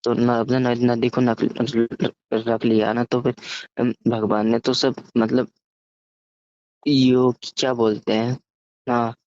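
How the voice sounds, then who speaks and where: A man casually telling a story over the phone, halting and often stammering, repeating syllables and words. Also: mid-range voice, flat delivery, darkish tone. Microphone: phone call. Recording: muffled, echoey phone call recording.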